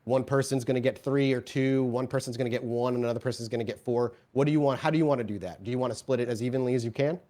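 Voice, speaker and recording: A man runs a tabletop roleplaying game as storyteller. His voice sits at an average pitch. He moves between vivid, descriptive narration and conversational explanation of the rules. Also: steady tone, very fast, hardly any pauses, warm tone.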